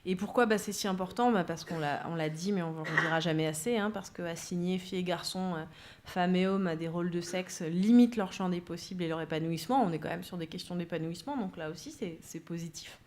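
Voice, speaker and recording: A woman speaks at a conference with a somewhat low voice. She talks through her points with frequent fillers and restarts. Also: fast, darkish tone, a little hiss or hum. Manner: hesitant, many fillers. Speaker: speaker from a gender equality centre. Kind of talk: conference talk. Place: conference room.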